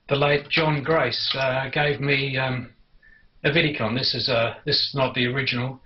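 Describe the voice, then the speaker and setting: An older Australian man reminiscing without a script in a halting, storytelling way, as an amateur television hobbyist. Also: medium pitch, muffled recording. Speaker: amateur television enthusiast recounting the past. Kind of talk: unscripted personal reminiscence.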